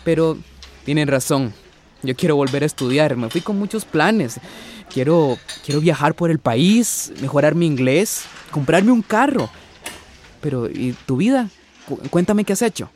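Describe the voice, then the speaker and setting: Curious young dude in a radio drama, highish lively voice, bit of background noise. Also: male voice, a little slow. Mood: eager. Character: young man back home with plans, curious.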